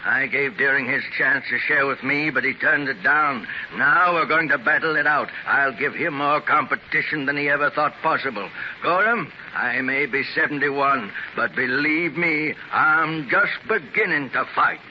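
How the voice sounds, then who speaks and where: A man's voice: medium pitch, normal speed, few pauses, close to an everyday voice. An actor playing a determined male industrialist in his seventies, earnest and weary yet defiant, in 1930s radio drama style. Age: 70s. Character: aging determined male industrialist, stubborn fighter. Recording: dull hissy 1930s transcription disc.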